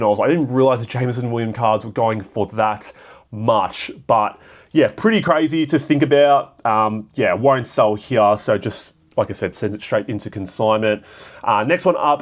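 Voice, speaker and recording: A young Australian man with a raspy voice from a cold, casually talking through card sale prices with lots of fillers. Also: mid-range voice. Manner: rambling, filler-heavy, thinking aloud. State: hoarse from a cold. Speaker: card shop vlogger. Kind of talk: casual solo commentary.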